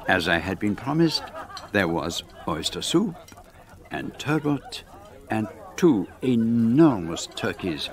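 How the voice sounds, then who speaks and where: An actor playing a precise, fussy older detective, narrating and speaking in a measured, formal way. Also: male voice, fairly low pitch, sing-song, a crowd in the background, exaggerated voice. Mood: wry. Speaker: narrator and lead character. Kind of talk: radio drama narration.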